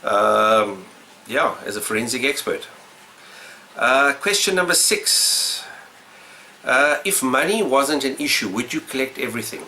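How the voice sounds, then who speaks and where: A middle-aged South African man with a South African accent, casually answering gaming questions in a relaxed, rambling way with lots of uhs and ums. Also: mid-range voice, measured pace, slight background noise. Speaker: gaming YouTuber.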